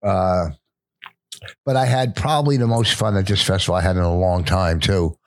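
A man's voice: sits low, normal speed, dry recording. An older man who is a stand-up comedian, telling stories in casual podcast chat, rambling with many restarts.

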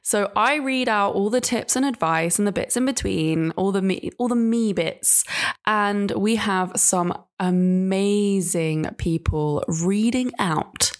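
A woman's voice: mid-range voice, slow. A woman hosting a podcast, giving a casual, gushing introduction in informal, sweary language. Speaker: podcast host.

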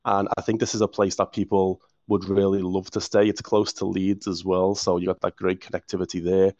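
A man's voice: low voice, level tone, fairly quick, darkish tone, no room sound. A man with a Liverpool accent, a council official answering interview questions with enthusiasm and frequent restarts. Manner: enthusiastic, restarts words often. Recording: muffled dry audio.

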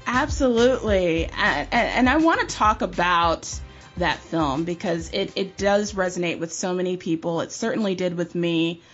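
Low-voiced woman co-host asking questions. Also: normal speed, fairly bright tone, dull audio, soft background music. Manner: engaged, with small restarts. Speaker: podcast co-host. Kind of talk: interview questions.

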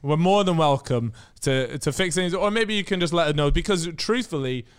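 A man's voice: a little high, expressive, moderate pace, smooth. A man hosting a podcast, telling stories in a casual, jokey, swearing way. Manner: chatty, swearing, jokey storytelling. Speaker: podcast host.

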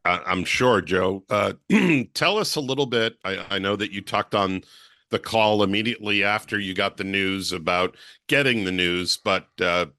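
Deep-voiced male podcast co-host, bright tone. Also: dry recording, clean studio sound. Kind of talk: podcast hosting, measured and articulate.

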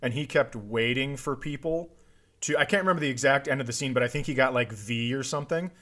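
A man's voice: mid-range voice, quick pace, a bit bright. A man bantering casually as a comedy podcast co-host, teasing and swearing as he jokes. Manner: teasing, joking, profane.

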